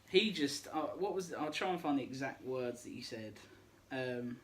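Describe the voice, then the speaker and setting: A man's voice: mid-range voice, animated, normal speed, a bit bright. A young man, co-hosting a casual podcast, chatting and teasing as he reads out listener questions. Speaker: podcast co-host. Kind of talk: casual chat.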